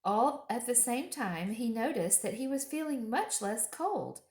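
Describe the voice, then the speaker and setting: A woman reading a children's story aloud expressively, with deliberate phrasing and dramatic emphasis. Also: a little high, big pitch swings, a little mellow, roomy sound. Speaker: storytime reader.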